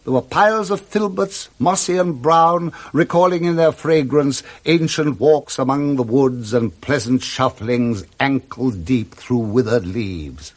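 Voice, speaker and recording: A man with a British accent giving expressive scripted narration of a classic story in 1960s radio style, relishing each detail. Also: medium pitch, measured pace, few pauses.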